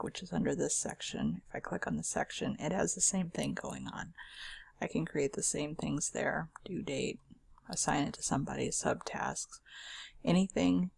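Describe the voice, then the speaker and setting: A woman with a scratchy, husky voice giving a casual, chatty walkthrough of a software tool on her screen, with fillers and self-corrections. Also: sits low, dry recording. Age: adult. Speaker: screen-share software demonstrator.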